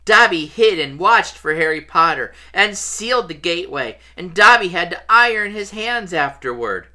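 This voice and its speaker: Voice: mid-range voice, lively intonation, normal speed, pushed and bright, pitched above the performer's own voice. A reader voicing a small, anxious male house elf, miserable and devoted, pleading and speaking of himself in the third person. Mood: earnest, anxious.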